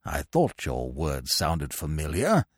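Slow, low-voiced old English lord. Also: male voice, huge pitch swings, breathy edge, no echo, clean studio sound, full character voice. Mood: pleased surprise. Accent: upper-class English. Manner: slow, ponderous, murmuring. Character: haughty, sentimental old English lord, easily won over.

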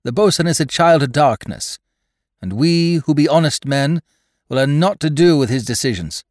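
A man's voice: on the high side, animated, moderate pace, no echo, clean studio sound, pitched above the performer's own voice, natural voice. A man voicing a sanctimonious mutinous sailor who talks in a preachy, old-fashioned way.

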